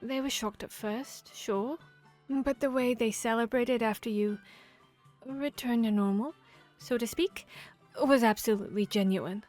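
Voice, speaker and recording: A woman playing a boastful, playful vampire hunter in a fantasy audio roleplay, speaking in a theatrical, expressive storytelling manner. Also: fairly high pitch, a little slow, lightly acted. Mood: teasing, reassuring. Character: boastful, playful female vampire hunter telling tavern tales. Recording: music behind the voice. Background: background music, tavern ambience.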